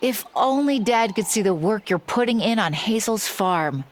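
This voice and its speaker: A woman's voice: medium pitch, animated, normal speed, close to an everyday voice. A woman playing a fond village neighbor in a video game, speaking in a conversational way. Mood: nostalgic.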